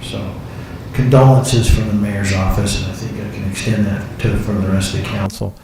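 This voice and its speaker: A man replying formally and haltingly as an official at a public meeting. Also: fairly low pitch, fairly even intonation. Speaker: mayor. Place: council meeting room. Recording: very echoey room, distant mic, noisy.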